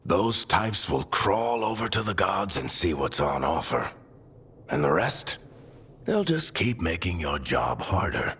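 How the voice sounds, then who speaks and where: A man playing a worldly, wry, encouraging mentor with a husky, gravelly voice in a video game. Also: deep voice, sing-song, unhurried, fairly bright tone, exaggerated voice. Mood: wry, reassuring. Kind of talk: video game cutscene acting.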